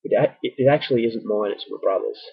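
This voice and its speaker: A man's voice: medium pitch, quick pace, vocal fry, breathy edge, dry recording. Australian man, stuffy nose, rambling self-mocking vlogger, dry close sound, slightly dull audio. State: stuffy with early flu. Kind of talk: casual vlog talking to camera.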